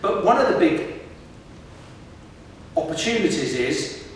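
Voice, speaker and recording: A middle-aged professor gives a lively public lecture, putting questions to the students in front of him. His voice sits fairly high for a man. He is heard from a distance in a large hall with long, booming reverb. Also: slow pace, a little hiss or hum. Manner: lively, engages the audience with questions. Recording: echoey hall recorded from far off.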